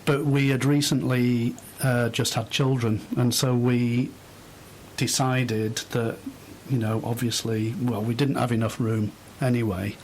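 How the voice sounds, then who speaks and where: Middle-aged male interview guest, measured and unhurried, pausing to think, faint rumbly noise behind. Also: flat delivery, darkish tone, rough recording. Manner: hesitant, thoughtful, halting phrases. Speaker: interview guest, volunteer host. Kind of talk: unscripted radio interview conversation.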